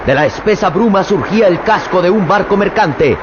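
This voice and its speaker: A man with a Mexican accent narrating an old radio drama in a dramatic, measured storytelling manner. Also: high-pitched, lively intonation. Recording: muffled old radio recording with background noise.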